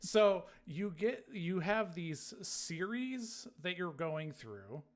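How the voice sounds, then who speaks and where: A man's voice: high-pitched, lively intonation, slow. A man co-hosting a podcast, talking casually and conversationally. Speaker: podcast co-host.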